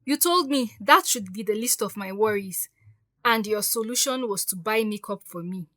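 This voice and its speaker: A woman's voice: mid-range voice, animated, moderate pace, fairly bright tone, dry recording, natural voice. Actress as woman, fed-up stepdaughter standing her ground, close dry recording, audio drama. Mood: hurt, defiant. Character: defiant, wounded grown stepdaughter standing up for herself.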